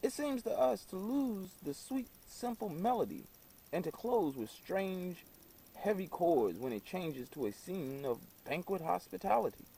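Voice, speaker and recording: A man's voice: sits high, very animated, a little slow, creaky, mellow, a little hiss or hum, voice pushed up, exaggerated voice. A man reading the part of a polite, curious American father in a storybook, speaking formally and earnestly. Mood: earnest questioning.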